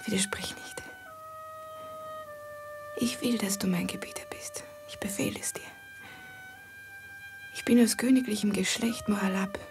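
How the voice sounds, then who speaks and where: A woman's voice: medium pitch, wildly swooping pitch, a little slow, pauses often, over music. A proud, whispering lovestruck noblewoman. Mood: commanding, yearning. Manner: imperious, intimate, often dropping to a breathy whisper. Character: proud, passionate noblewoman in love with her slave. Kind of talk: German radio play acting.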